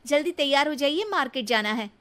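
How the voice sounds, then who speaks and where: Lady doing caring young bahu for a cartoon story, really high animated voice, polite and coaxing. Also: brisk. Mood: cheerful. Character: sweet, caring, eager young daughter-in-law. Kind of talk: acted dialogue in cartoon moral story.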